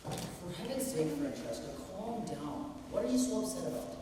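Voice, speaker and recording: An actor playing a brusque, dismissive husband in a stage play. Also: male voice, high voice, sing-song, normal speed, noisy background. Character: dismissive husband, greedy and impatient. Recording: echoey hall from far off.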